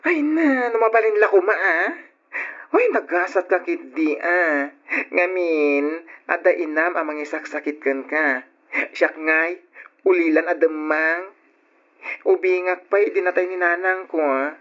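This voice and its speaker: A woman acting as a caring, chatty young friend in an old radio drama, exclaiming and coaxing as she gently scolds. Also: on the low side, a little slow, long pauses. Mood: wistful, advising. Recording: dull old radio recording.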